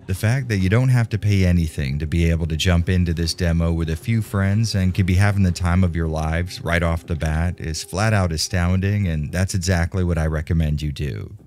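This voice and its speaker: A man's voice: low-pitched, darkish tone. A man narrating a scripted video game review in a casual, conversational way, with wry, tongue-in-cheek humour. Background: background music.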